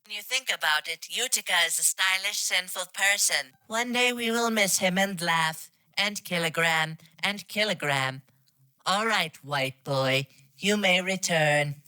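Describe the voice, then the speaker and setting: A woman's voice: on the low side, measured pace, sharp tone, clean tone, no echo. A woman's voice reading scripted game lines in a stilted, literal way. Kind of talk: flat reading of garbled translated game lines.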